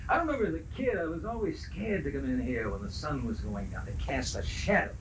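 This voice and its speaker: Man acting an animated, earnest young suitor. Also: a little high, fairly quick, background noise, natural voice. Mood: teasing. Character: earnest young male television writer courting his sweetheart. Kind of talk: 1970s amateur radio drama acting. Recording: 1970s radio, music behind.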